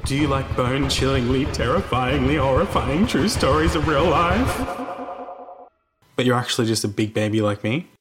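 A man's voice: mid-range voice, normal speed. A man hosting a podcast, giving a scripted promo in a playful, mock-spooky manner. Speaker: podcast host. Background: music.

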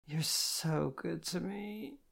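A reader voicing a tipsy, tearful young woman who speaks in slow, halting, soft phrases and stumbles over her words. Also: medium pitch, creaky, voice pushed up. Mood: pleading, drowsy. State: drunk and weepy.

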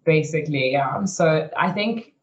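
A woman's voice: deep voice, a little slow, highs cut off. Chatty young woman recounting her story. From South Africa. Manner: chatty and frank, many "you know" and "like" fillers. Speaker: racing driver, interview guest. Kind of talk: candid storytelling in an interview.

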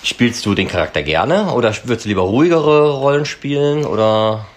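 A man's voice: mid-range voice, expressive, brisk. A man hosting a podcast, asking questions in a casual, chatty interview style.

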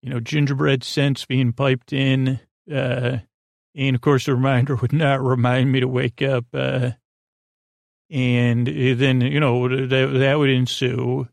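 A middle-aged man with a creaky voice hosting a bedtime podcast, rambling in a silly, halting way and drifting off mid-thought. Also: mid-range voice, flat delivery, no echo. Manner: meandering, halting, repeats and trails off mid-thought; silly, whimsical. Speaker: podcast host.

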